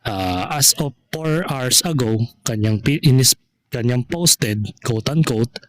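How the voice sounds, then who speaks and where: A man speaks as a vlogger commenting on gossip for his followers. His pitch sits in the middle and his pace is slow. He moves haltingly, circling back over points and checking in with short rhetorical questions. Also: expressive, no room sound.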